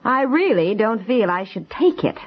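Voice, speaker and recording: A woman playing an excitable, fretful housewife in 1950s radio comedy acting, chatty and quick to exclaim and interrupt. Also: on the high side. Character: excitable, fretful housewife scheming for a Europe trip. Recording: dull vintage 1950s radio recording.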